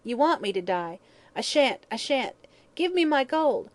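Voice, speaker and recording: Shrill, wailing dying old hag. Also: female voice, sing-song, a little slow. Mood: terrified, raving. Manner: rough uneducated speech, dropped grammar.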